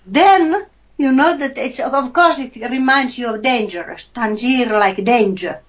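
A woman from Finland with a Finnish accent, chatting casually about a film, searching for words and pausing often. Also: high-pitched. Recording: dull lo-fi recording.

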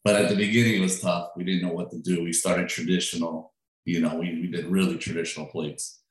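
A deep-voiced man from Peru talks about his cooking in a loose, conversational way, often doubling back on his words. His voice rings with heavy echo from the room around him. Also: fast talker. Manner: relaxed, chatty, with frequent restarts and fillers. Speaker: food truck owner and chef. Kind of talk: interview answers. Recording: very echoey room.

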